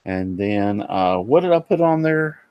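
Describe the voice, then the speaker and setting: Hoarse, nasal man hosting a live show. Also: fairly low pitch, lively intonation, measured pace, dry recording, lo-fi sound. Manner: rambling, many fillers and restarts. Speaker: host of a woodworking live show. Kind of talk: casual live-stream chat.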